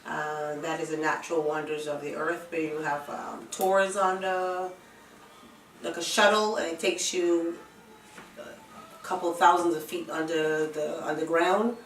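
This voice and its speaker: A woman's voice: low-pitched, little pitch movement, measured pace. A woman in her fifties from Barbados with a Barbadian accent, answering questions in a casual documentary interview. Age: 50s. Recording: roomy, distant microphone, music behind.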